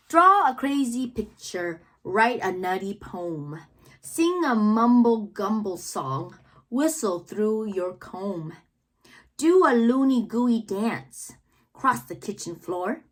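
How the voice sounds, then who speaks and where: Lady reading kids poems out loud, kinda high voice, super singsongy up and down, stretches words with pauses. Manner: theatrical, drawn-out words with pauses. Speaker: read-aloud host, children's reader. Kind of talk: expressive poetry read-aloud for children.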